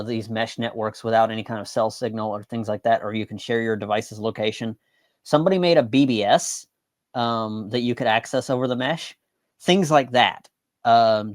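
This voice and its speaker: A man with a mid-pitched voice speaks in casual interview style. He is matter-of-fact and rambles a little, circling back over his own phrases, and the sound is dry with very little room in it. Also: no room sound. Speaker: guest on a show.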